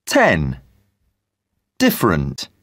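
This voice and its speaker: Woman narrator, super low voice, reads numbers and letters really slow. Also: wildly swooping pitch, slightly rough, dry recording, studio quality. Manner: slow, deliberate reading. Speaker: exam audio narrator. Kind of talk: reading numbers and spellings for an exam.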